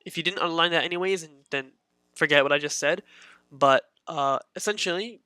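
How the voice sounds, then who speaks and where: A man's voice: on the high side, a little fast, sharp tone, smooth, no echo. A man teaching a beginner grammar lesson in a casual, patient way, repeating his points with many fillers.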